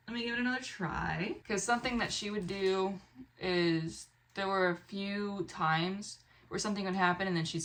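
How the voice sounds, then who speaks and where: A young American woman chatting casually to camera as a book vlogger, in an expressive, rambling way, often interrupting herself. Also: lowish voice. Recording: clear background room noise.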